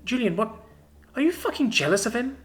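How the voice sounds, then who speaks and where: A man reading a story, voicing a bold, sharp-tongued young woman who teases and scolds her boyfriend. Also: very high voice, a little fast, voice pushed up, full character voice. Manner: lively, sharp, teasing.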